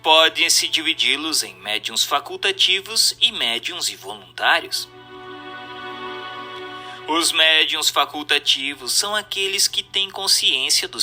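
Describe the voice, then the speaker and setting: A Brazilian man narrates a formal text in a very bright, forceful voice, pitched a little high. His delivery is didactic and emphatic, with lively swings in intonation, and faint music plays behind him. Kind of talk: scripted narration, reading a book aloud.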